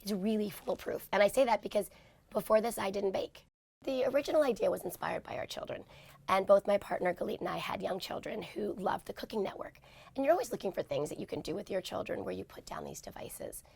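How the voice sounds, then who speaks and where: A woman in her forties from New York, hoarse from talking too much, chatting in an interview with quick self-deprecating asides. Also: mid-range voice, a little mellow. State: hoarse, lost voice. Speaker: interview guest. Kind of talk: casual interview talk.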